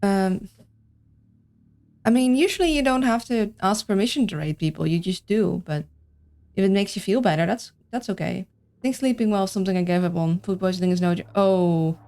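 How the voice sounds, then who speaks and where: A woman's voice: mid-range voice, moderate pace, mellow. A woman with a Dutch accent, streaming a game and chatting casually with her viewers, thinking aloud as she plays. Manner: chatty, reads and answers chat, thinks aloud. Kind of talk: casual livestream chat while playing.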